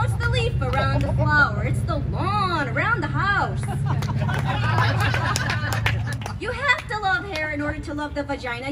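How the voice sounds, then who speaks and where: A woman's voice: high voice, far from the mic. A woman performing a comic monologue as a wry, outspoken character airing intimate grievances. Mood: emphatic. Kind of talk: comic monologue in a staged reading. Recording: very noisy live venue recording.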